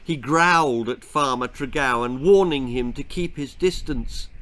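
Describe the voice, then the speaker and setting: A man's voice: medium pitch, unhurried, fairly bright tone. A man with an English accent reading a children's story aloud as a dramatic storyteller. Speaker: author reading own story.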